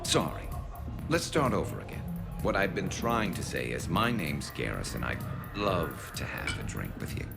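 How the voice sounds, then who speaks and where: Video game alien veteran: extremely low, creaky rasp, loyal, dryly witty. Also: male voice, very animated, over loud music, full character voice. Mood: awkward, dry. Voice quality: gravelly, resonant alien rasp. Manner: dry humour, halting when flustered. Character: battle-hardened alien male soldier, loyal, dryly witty, awkward.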